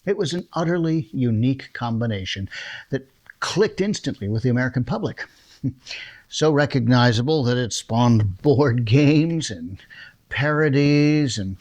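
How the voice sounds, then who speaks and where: Man, producer, casual chatty intro, enthusiastic, mid pitch. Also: darkish tone. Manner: chatty, enthusiastic, laughs between phrases. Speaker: producer and host.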